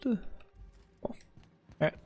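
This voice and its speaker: A man's voice: slow pace, darkish tone. A man giving casual, sarcastic commentary while playing a video game, with muttered asides. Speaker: gameplay streamer.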